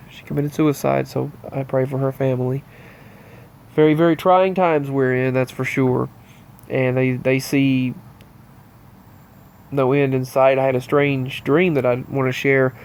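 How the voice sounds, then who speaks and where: A man with a stopped-up, allergy-stuffed nose talks straight to his viewers in a loose, rambling monologue. His pitch sits in an ordinary middle range, and he speaks earnestly as he moves from item to item. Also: gravelly, darkish tone. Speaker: channel host. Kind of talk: casual news-roundup monologue.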